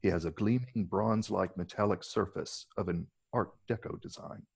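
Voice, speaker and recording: A man's voice: fairly low pitch, moderate pace, creaky, breathy edge. A man narrating stage directions from a film script in a dramatic table read.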